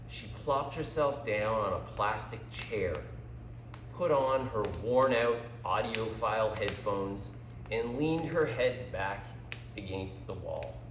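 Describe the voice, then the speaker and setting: A man reading a scripted story as a storyteller, with a dramatic, deliberate delivery. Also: lowish voice, noisy background. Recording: echoey room.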